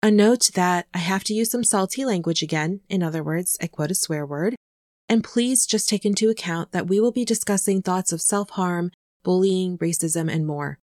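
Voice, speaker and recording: A woman's voice: fairly low pitch, moderate pace, a little mellow. Friendly young American woman hosting a casual podcast chat. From United States. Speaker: podcast host.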